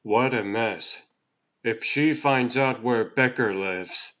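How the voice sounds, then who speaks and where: A man voices an earnest comic-book superhero who is tired of nonsense. His delivery is slow, serious and measured, at a middling pitch. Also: a bit bright, dull audio. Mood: weary exasperation. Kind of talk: comic-book dub acting.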